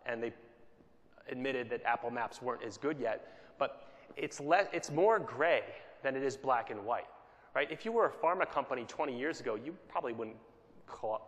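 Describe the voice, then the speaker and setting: Keynote speaker in a reverberant hall. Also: male voice, medium pitch, fairly bright tone. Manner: conversational, checks in with "right?" often. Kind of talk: conference keynote talk. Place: conference hall.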